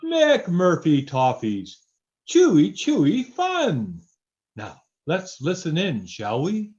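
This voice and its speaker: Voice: medium pitch, huge pitch swings, takes it slowly, darkish tone. An actor playing a genial old-time radio show announcer who savors the words of a scripted narration and sponsor plug. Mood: genial, theatrical. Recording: dull lo-fi sound with music behind.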